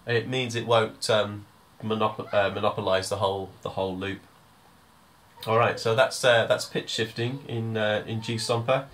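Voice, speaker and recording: Low-voiced man giving a tutorial. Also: steady tone, moderate pace, fairly bright tone. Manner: informal, hesitant step-by-step explaining. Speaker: music tutor. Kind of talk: hands-on software tutorial.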